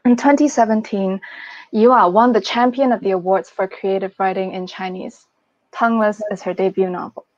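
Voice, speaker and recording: Female novelist-moderator, medium pitch, introducing panelists over muffled online audio. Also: steady tone, normal speed, slight background noise. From Hong Kong. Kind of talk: semi-scripted panel introduction. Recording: dull, lo-fi online call audio.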